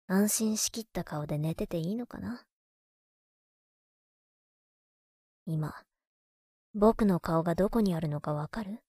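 A woman voicing a clingy, teasing younger brother who dotes on an older sister, speaking softly and intimately in an audio drama. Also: fairly low pitch, slightly rough, no echo, clean studio sound. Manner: soft, intimate, coaxing, sometimes dropping to whispers. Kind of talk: intimate Japanese audio drama, murmured monologue to a sleeping listener.

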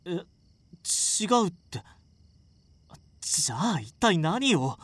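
A woman's voice: lowish voice, very animated, extremely slow, breathy edge, no echo, exaggerated voice. A woman playing a prim, flustered woman in an audio drama, speaking politely but scolding with indignation. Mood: doubtful, flustered. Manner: polite, scolding formal speech. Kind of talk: scripted audio drama acting.

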